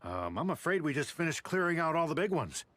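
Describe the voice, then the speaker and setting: An actor playing a nervous, eager-to-please warehouse clerk in a video game, with a flustered, stammering manner. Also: male voice, a little high, sing-song, a little fast, a bit bright, slight background noise. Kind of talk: video game character acting.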